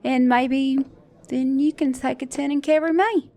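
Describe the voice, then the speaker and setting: A woman's voice: high voice, steady tone, a little slow, a little mellow. A woman voicing a flirty, sassy, caring mechanic in an intimate ASMR romance roleplay, teasing the listener as her date. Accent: British or Australian.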